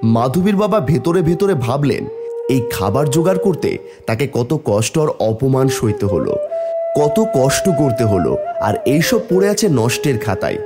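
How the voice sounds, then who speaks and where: Narrator for a cartoon folk tale: man, mid pitch, very animated delivery. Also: moderate pace, loud music behind the voice. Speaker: story narrator.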